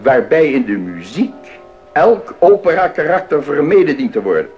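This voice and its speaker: Pompous, animated male councillor. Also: on the high side, normal speed, dull audio, faint music behind. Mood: stern, officious. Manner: pompous, formal, emphatic. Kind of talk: 1980s Dutch radio play acting.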